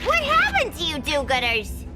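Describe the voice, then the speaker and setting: A woman's voice: high-pitched, wildly swooping pitch, moderate pace, over music. A woman voicing a bubbly, cheeky young villainess for a cartoon video game, brash and slangy. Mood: pleading.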